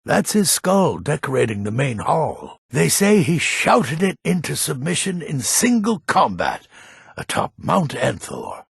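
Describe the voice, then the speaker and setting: An actor playing a grumpy, gruff old man of authority in a fantasy video game, with a raspy, gravelly voice and a weighty, blunt manner. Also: on the high side, wildly swooping pitch, a little slow, no room sound, studio quality, full character voice. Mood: grave, deliberate.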